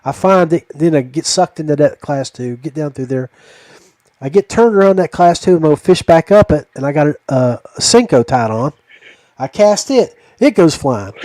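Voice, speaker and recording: A man with a somewhat high voice hosts a casual podcast chat. He spins rambling, folksy stories, and the sound is fairly dry and close. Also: lively intonation, moderate pace, mellow, no room sound. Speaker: podcast host.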